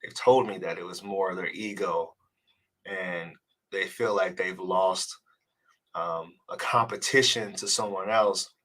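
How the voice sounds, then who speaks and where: Man, somewhat low voice, congested with a cold, dating coach, casual live-stream talk, earnest, repeats words. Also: sharp tone. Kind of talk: live-stream advice chat reading comments.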